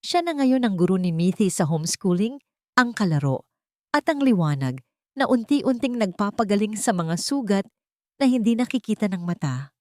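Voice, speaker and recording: A woman's voice: medium pitch, expressive, normal speed, a little mellow, dry recording, clean studio sound. A woman giving an earnest, scripted dramatic narration as a sentimental storyteller. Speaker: storyteller and channel host.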